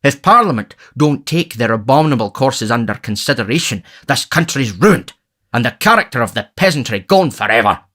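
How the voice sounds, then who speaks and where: A man's voice: mid-range voice, animated, a little fast, pushed and bright, voice pushed up. A man voicing a pompous, domineering parish beadle, stern and emphatic, as an audiobook character. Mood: indignant, stern.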